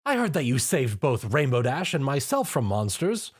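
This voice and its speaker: A man's voice: mid-range voice, sing-song, normal speed, husky. A man voicing a prim, refined fashion-designer mare with a posh, theatrical manner. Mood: fussy.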